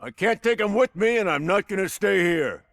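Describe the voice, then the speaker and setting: Agitated game character, prison riot, bright forceful voice, high pitch, desperate, dry sound. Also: male voice, lively intonation, normal speed, gravelly, dry recording, heavily acted. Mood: tense. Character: panicked men in a prison riot, bitter, desperate. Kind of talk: video game cutscene acting.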